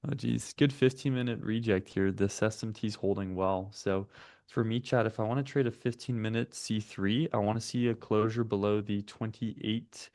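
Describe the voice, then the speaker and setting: Low gravelly man calling live market setups. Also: steady tone, normal speed, a little mellow, no echo, clean studio sound. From United States. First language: French. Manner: methodical, calls out price levels and setups; chats with viewers between chart reads. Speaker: day-trading stream host.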